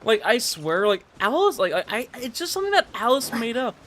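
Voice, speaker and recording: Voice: medium pitch, sing-song, fairly quick, a bit bright, slight background noise. A streamer giving casual, rambling and emphatic commentary while gaming. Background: game music.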